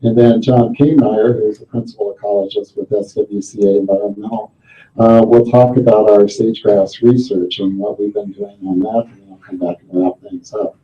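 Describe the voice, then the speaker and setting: A soft-spoken man giving a formal company presentation into a microphone. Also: on the low side, steady tone, moderate pace, lo-fi sound. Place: darkened conference room.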